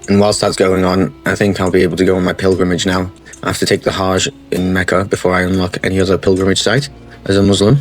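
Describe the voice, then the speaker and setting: A man commentating on his own strategy game playthrough, explaining each move step by step in a matter-of-fact way. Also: deep voice. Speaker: gaming channel host. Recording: faint music bed under the voice.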